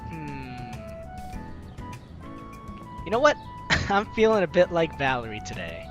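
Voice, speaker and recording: Animated, higher-voiced man narrating a game. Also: slow. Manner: expressive, acts out reactions. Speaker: let's play host. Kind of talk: reading a visual novel aloud with playful asides. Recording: music under the voice. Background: game music.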